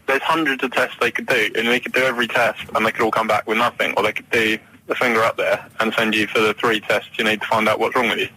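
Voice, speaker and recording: A man's voice: lowish voice, little pitch movement, fast talker, bright, forceful tone, slight background noise, poor audio. A young man chatting casually on radio, giving matter-of-fact, reassuring explanations as a medical student guest. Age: young adult.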